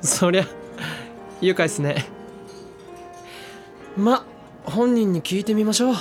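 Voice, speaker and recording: A man's voice: high-pitched, lively intonation, slow pace, darkish tone, soft background music. A man playing a confident, pushy younger teenage boy with casual slangy speech in a Japanese anime audio drama. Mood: breezy.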